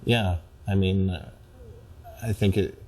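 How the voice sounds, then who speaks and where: Man, deep low pitch, reflective conversation, analytical, articulate, carefully worded, therapy client. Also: fairly even intonation, a little mellow, a little hiss or hum. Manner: analytical, articulate, measured wording.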